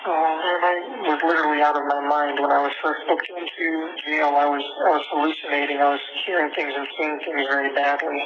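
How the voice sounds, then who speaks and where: Male inmate on a noisy phone call. Creaky voice, hesitant casual talk. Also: fairly high pitch, little pitch movement, a little fast, hardly any pauses. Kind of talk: casual private phone conversation. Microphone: telephone handset. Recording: noisy jail phone line recording.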